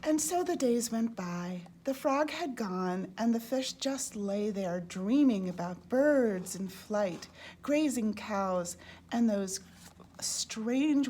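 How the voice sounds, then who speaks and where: A woman reading a children's storybook aloud in an expressive way, as a storyteller. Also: medium pitch, takes it slowly. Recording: clearly noisy background.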